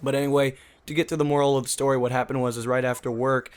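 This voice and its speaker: A man's voice: mid-range voice, level tone, fast, smooth. A young man who hosts a gaming channel and talks casually over gameplay. He rambles in a chatty way, full of fillers.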